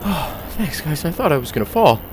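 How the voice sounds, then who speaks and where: Man playing a hapless, high-voiced, swooping friend. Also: very animated, brisk, soft background music. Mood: meek, weary. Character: hapless, anxious, timid male friend. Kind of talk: comedy horror audio drama acting.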